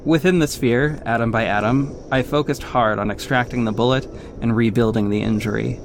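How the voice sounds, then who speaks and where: A man's voice: medium pitch, steady tone, brisk, background noise, close to an everyday voice. An actor playing a young, cocky but caring male vigilante superhero in a scripted audio drama. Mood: earnest narrating. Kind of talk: scripted first-person narration and acted dialogue. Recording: music behind the voice. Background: music.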